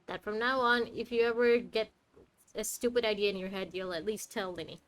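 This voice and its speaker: A woman's voice: medium pitch, steady tone, fairly quick, fairly bright tone, a little hiss or hum. A woman game streamer giving casual, reactive playthrough commentary with a wry way of talking.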